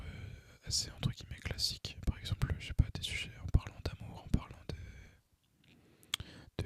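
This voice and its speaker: A young man whispers in a casual, hesitant, unhurried ASMR ramble as a gaming channel host. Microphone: microphone recorded through a phone, voice-over on gameplay.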